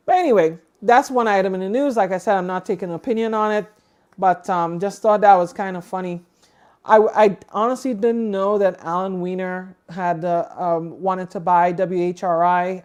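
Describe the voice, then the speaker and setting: A woman's voice: on the low side, a little mellow, clean tone. A woman co-hosting a livestream, giving casual news commentary in a conversational, explanatory way, thinking aloud as she goes. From outside the United States. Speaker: co-host of a ham radio livestream.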